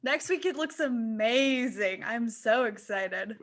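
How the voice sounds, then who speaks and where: A woman with a fairly high voice and very lively intonation chats on a podcast panel. She is enthusiastic and casual, and she takes her words slowly. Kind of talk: casual chat.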